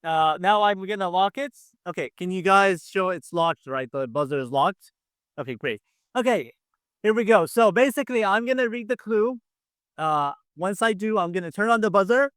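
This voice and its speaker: Higher-voiced man hosting an online quiz. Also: animated, moderate pace, a bit bright, clear, resonant tone, no echo. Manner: encouraging, repeats himself. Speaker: quiz show host. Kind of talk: casual game show hosting. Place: online video call.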